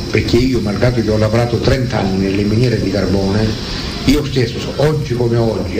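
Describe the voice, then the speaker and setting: An older man, a former coal miner, giving a spoken recollection in a halting way, searching for words and correcting himself. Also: medium pitch, echoey room, noisy background. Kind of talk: spoken recollection, oral testimony.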